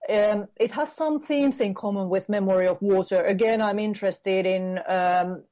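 A woman author, answering an interviewer's questions. She speaks thoughtfully and hesitantly, pausing often and restarting her sentences while she searches for the right words. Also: mid-range voice, dark tone. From Finland. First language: Finnish. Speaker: author guest.

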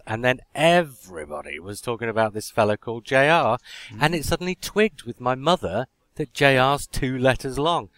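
A man hosts a podcast in a dry, echo-free sound. His voice sits at a middle pitch, and he spins out anecdotes casually, with teasing mock exasperation. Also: a bit bright, clear, resonant tone. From Yorkshire, England. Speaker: podcast host. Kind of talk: casual banter and anecdote telling.

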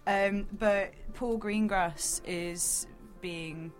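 A woman's voice: mid-range voice, fairly even intonation, drawn-out words, faint music behind. A woman co-hosting a casual book chat, thinking aloud and searching for her words. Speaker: podcast co-host.

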